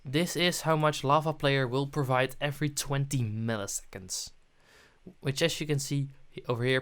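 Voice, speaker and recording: A man presenting a programming tutorial, narrating his steps on screen as he goes. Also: mid-range voice.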